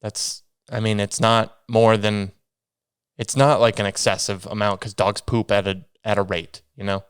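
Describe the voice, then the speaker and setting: A man's voice: on the low side, flat delivery, normal speed, smooth, no room sound, studio quality. A young man hosting a solo podcast, rambling casually with a sniffly, stuffy nose. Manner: rambling, self-deprecating, meandering restarts. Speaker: podcast host.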